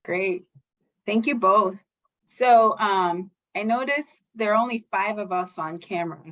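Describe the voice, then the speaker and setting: A woman's voice: medium pitch, unhurried, a bit bright. A woman hosting a virtual panel as facilitator, talking in a friendly, chatty way with many fillers. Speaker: facilitator, board member. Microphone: video call. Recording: slightly dull video call audio.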